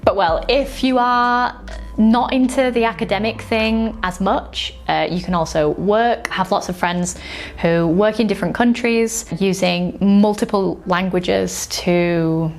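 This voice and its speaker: A woman's voice: medium pitch, measured pace, a little hiss or hum. A young woman with a light Yorkshire accent, talking casually as an English teacher in an explainer video, with frequent "like" and "um" fillers.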